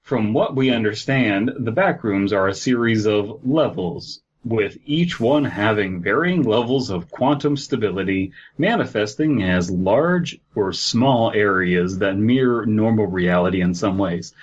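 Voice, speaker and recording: A man's voice: lowish voice, expressive, measured pace, few pauses, dull audio, a little hiss or hum. A man hosting a podcast, reading aloud and bantering in a joking, sardonic way. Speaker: podcast host. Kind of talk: casual banter, reading a horror wiki aloud.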